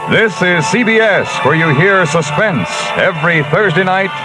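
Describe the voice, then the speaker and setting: A man's voice: sits high, animated, moderate pace. Emphatic old-time radio announcer man. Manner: bright, emphatic announcer delivery. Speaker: network announcer. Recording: old radio recording with loud music.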